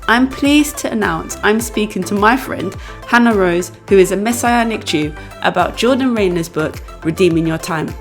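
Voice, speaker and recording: A woman's voice: mid-range voice, vocal fry. A woman hosting a podcast about books, chatting casually and laughing often. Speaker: podcast host. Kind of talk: casual book chat.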